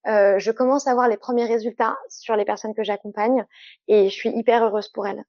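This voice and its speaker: Higher-voiced woman, muffled dry sound. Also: fairly even intonation, a little fast, creaky, darkish tone. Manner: earnest, explanatory, frequent fillers. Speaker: sexologist guest. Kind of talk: casual interview conversation.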